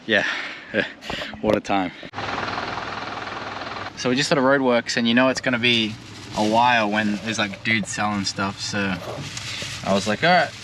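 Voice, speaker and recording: A man's voice: fairly low pitch, bright, forceful tone. Laid-back Australian guy vlogging roadside. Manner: chatty, laid-back, lots of "like" and "um" fillers. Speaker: travel vlogger. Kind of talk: casual travel vlog chat. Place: outdoors by a road while driving a tuk-tuk. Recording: outdoor recording with clear traffic noise. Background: traffic and vehicle noise.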